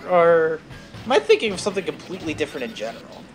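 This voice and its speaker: A man's voice: a little high, very animated, fast, music in the background. A man chatting casually as host of a gaming stream, rambling and digressive with bookish word choices. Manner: rambling, digressive, uses academic words.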